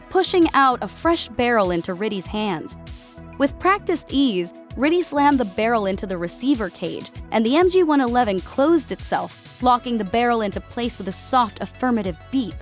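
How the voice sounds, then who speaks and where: A woman giving a dramatic scripted narration of an action story as an audiobook narrator. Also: a little high.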